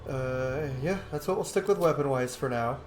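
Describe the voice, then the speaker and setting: Male gaming host, casual, self-talking commentary with loose swearing, mid-pitched, music behind him. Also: moderate pace. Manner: informal, swearing, talking to himself.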